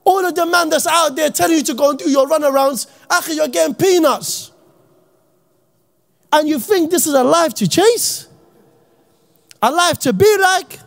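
Man, originally from Iraq, very high voice, passionate youth talk, street slang, raised voice. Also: vocal fry. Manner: fiery, slang-heavy, often shouting. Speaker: Islamic speaker. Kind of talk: impassioned motivational talk to youth.

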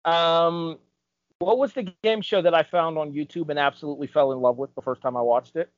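Male podcast co-host, 30s, slightly high voice, emphatic and opinionated, dry muffled recording. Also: fairly quick, no echo. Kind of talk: casual panel debate about game shows.

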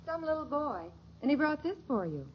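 A practical housewife mother in a vintage radio family comedy. Her voice is fairly high and fussing. The old recording is muffled, with clear hiss. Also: very animated, fairly quick. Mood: matter-of-fact. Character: sensible, busy housewife mother, mildly exasperated. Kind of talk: 1950s radio sitcom acting.

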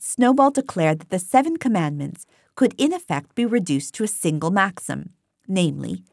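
Expressive female audiobook narrator. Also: on the low side, dry recording, clean studio sound. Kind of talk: scripted literary narration.

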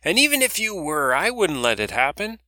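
Audiobook figure: man putting on a raspy, bossy old woman vendor, pitched well up, teasing. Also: sing-song, moderate pace, no room sound, clean studio sound, exaggerated voice. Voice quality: raspy, loud. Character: raspy brash older woman, dock hot dog vendor. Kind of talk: audiobook character voice.

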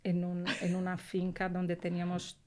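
Woman, low voice, chatty guest. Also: monotone, normal speed. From Brazil. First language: Portuguese. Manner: warm, laughs often, trailing restarts. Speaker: guest soprano. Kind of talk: casual interview chat.

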